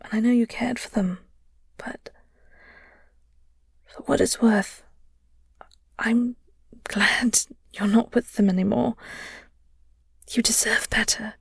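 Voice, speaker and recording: Breathy, gentle vampire flirt played by a woman. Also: on the high side, fairly even intonation, dry recording, clean studio sound, full character voice. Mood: hesitant, earnest. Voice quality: breathy, husky. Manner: halting, teasing, tender. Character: flirty, gentle vampire nemesis comforting a heartbroken human. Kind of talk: intimate audio roleplay acting, half-whispered.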